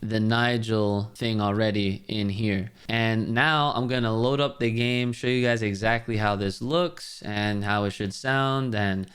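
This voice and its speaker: Clear-voiced man giving a casual tutorial. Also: fairly low pitch, fairly even intonation, a little slow, hardly any pauses, a bit bright. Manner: conversational, explanatory, talks directly to viewers. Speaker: tutorial host. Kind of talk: casual software tutorial talk. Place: voice-over on a screen recording of a game.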